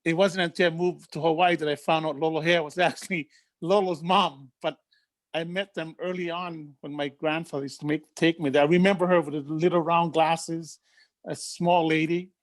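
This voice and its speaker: A woman's voice: sits low, fairly even intonation, normal speed, dry recording. A woman, speaking with a camera that is off on a family video call and recalling things in a reflective way, with stammered restarts. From Tonga. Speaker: relative sharing a memory.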